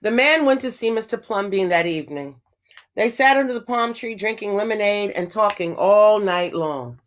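A woman teacher reading a children's storybook aloud, with expressive phrasing and emphasized words. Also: mid-range voice, moderate pace.

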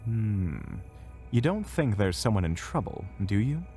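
A man playing an aloof, philosophical mage in a fantasy audio drama, speaking in a deliberate, pompous, detached way. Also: lowish voice, big pitch swings, mellow, soft background music. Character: Phineas, aloof, philosophical male mage with dark ambitions.

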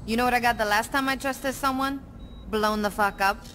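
A woman's voice: fairly high pitch, moderate pace, a bit bright, close to an everyday voice. An actress playing a tough, cynical female gang boss in a video game, blunt and sarcastic. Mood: scornful. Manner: sarcastic, blunt, foul-mouthed. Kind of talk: video game cutscene acting. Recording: clear background noise.

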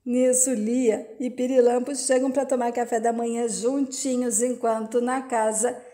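A Brazilian woman reads a soap opera recap in a voice-over, her voice sitting high. She moves quickly and plainly through who says what. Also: flat delivery, warm tone. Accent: Brazilian Portuguese. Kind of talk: reading a soap opera summary.

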